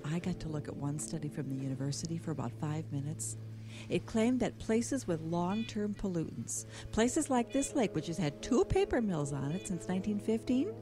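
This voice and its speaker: An actress as an earnest woman scientist in a horror radio play. Her voice sits low and moves with lively intonation, explanatory and matter-of-fact, over prominent music. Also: natural voice. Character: earnest woman scientist alarmed by a polluted lake's monster. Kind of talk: audio horror drama acting.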